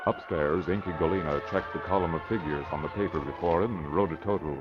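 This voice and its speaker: A man's voice: low-pitched, fast talker, loud music behind the voice. A man delivering dramatic scripted narration in the style of a 1940s radio crime serial. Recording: dull lo-fi old radio recording.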